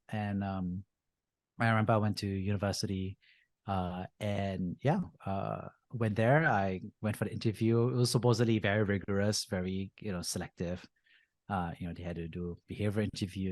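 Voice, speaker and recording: A man's voice: low voice, darkish tone, dry recording. A man in his thirties from Singapore, a venture capitalist telling his story as a podcast guest, with frequent fillers. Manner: frequent uh and you know fillers, easygoing. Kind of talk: casual interview storytelling.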